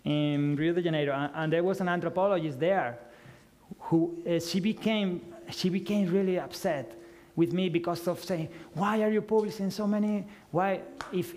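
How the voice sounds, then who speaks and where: A man in his thirties answering audience questions at a talk, speaking haltingly with many restarts into a headset mic in a lecture room. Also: sits high, a little mellow, slight background noise. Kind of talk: conference Q&A.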